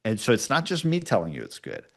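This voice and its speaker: A man's voice: fairly low pitch, huge pitch swings, fast, vocal fry. A man giving casual, explanatory interview answers, often checking in with short tags like "right?". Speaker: podcast producer, interview guest.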